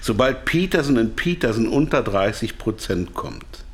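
An actor playing a captive pharmaceutical manager, hesitant and anxious to comply, in a German radio drama. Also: male voice, fairly low pitch, sing-song, normal speed. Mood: explaining.

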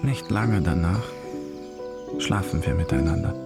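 An actor playing a tender secret lover, speaking softly and earnestly in a literary radio drama. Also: male voice, deep voice, animated, a little slow, creaky, heavily acted. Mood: reflective. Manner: soft, earnest, intimate. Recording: loud music behind the voice.

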